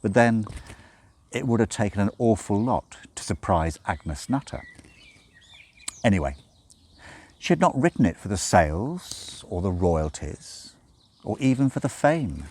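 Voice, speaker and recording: Guy reading an audiobook, lowish voice, swings up and down loads, kinda wry. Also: darkish tone, husky. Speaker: audiobook reader. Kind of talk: expressive scripted storytelling narration.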